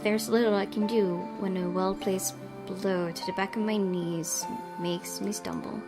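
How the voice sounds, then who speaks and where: Young woman streamer reading game text with casual asides, mid-pitched, loud game music under her. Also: steady tone, unhurried. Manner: reads narration with chatty asides. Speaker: gaming streamer. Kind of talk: reading a visual novel aloud.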